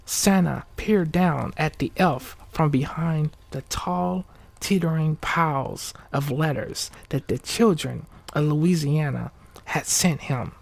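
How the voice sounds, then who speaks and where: A man hosting an ASMR bedtime story, speaking softly and often whispering, with a relaxed, informal manner. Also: a little high, slow, gravelly, no echo. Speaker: ASMR video host and storyteller. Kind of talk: ASMR bedtime story reading, casual asides.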